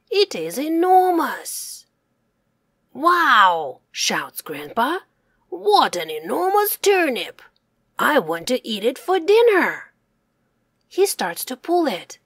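A woman reading a children's folk tale aloud in slow, expressive, sing-song storytelling. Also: high-pitched, no echo, clean studio sound.

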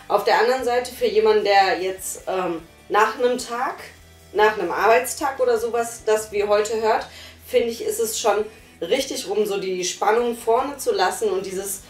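A tired young woman reviewing a radio drama in casual chat, measured and thoughtful, sitting on a sofa. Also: medium pitch. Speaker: podcast reviewer. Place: living room, sitting on a sofa. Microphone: far camera. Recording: roomy, far from the mic, faint music.

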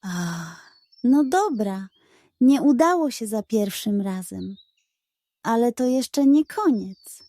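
A woman's voice: fairly high pitch, animated, slow pace, dark tone, no echo. A woman voicing a scheming, hungry male pug in a children's storybook, with a pleading, theatrical, self-important manner. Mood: determined.

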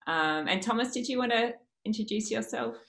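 A woman in her thirties with an Australian accent, a university lecturer giving a conference presentation over a video call in a measured way with frequent fillers. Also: fairly high pitch, animated, creaky, a bit bright.

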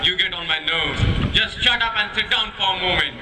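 A man's voice: sits high, fairly quick, natural voice. A young man acting an irritable, grumbling wanderer in a school stage comedy. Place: outdoor stage in a school courtyard. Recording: noisy outdoor stage recording.

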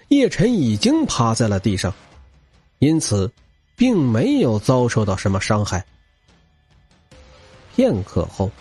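Man, theatrical audiobook narration, intense, very wide pitch swings, unhurried pace. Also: a little mellow, breathy edge. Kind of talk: dramatic scripted audiobook narration of action fiction.

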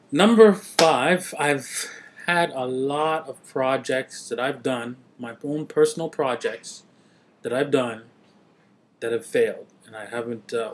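A man in his thirties talks casually into a desk webcam in a small home office, wandering through his thoughts with frequent ums and pauses. His voice sits at an ordinary middle pitch. A faint background noise hangs under the recording. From Trinidad, raised in Canada. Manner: rambling, many filler pauses. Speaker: vlogger.

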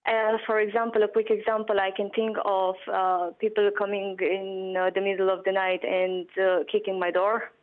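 A woman's voice: medium pitch, level tone, normal speed, few pauses. Woman with a Romanian accent, phone-line caller; narrow lo-fi sound, plainly blunt and matter-of-fact. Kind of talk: phone-in interview. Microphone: telephone.

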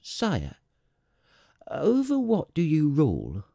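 Small boy prince, acted by an adult man: raised pitch, timid, courteous, questioning, very lively melody. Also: slow pace, gravelly, no echo, clean studio sound, heavily acted. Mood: wondering, polite. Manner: timid, polite, abashed. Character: timid, curious little prince, young boy.

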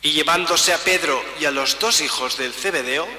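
A middle-aged man, a priest, reading a long Gospel passage aloud during Mass in a measured, solemn way, pausing between phrases. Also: fairly high pitch, sharp tone. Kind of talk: slow liturgical Gospel reading. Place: church sanctuary, large indoor space. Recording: roomy church reverb, mic at a distance.

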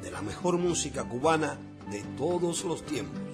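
A man declaims a scripted station promo, his pitch rising and falling widely. Music sits under the voice. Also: normal speed, gravelly. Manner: expressive, declamatory.

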